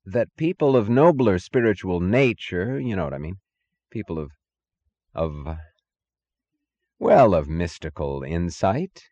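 A man's voice: deep voice, a little fast, hardly any pauses, highs cut off, close to an everyday voice. Audiobook role: timid male adviser, tentative yet pompous, groping for words, played by a male narrator.

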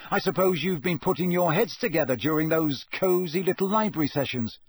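A man's voice: fairly high pitch, fairly quick, breathy edge, no room sound, pitched above the performer's own voice. A man voicing a jealous, sulky teenage boy in an audiobook, scathing and accusatory. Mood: nasty, suspicious.

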